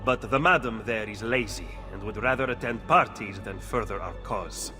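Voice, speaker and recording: An actor playing a shrewd, skeptical Renaissance conspirator with an Italian accent in a video game cutscene. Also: male voice, fairly low pitch, normal speed, music in the background, natural voice. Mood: dissatisfied.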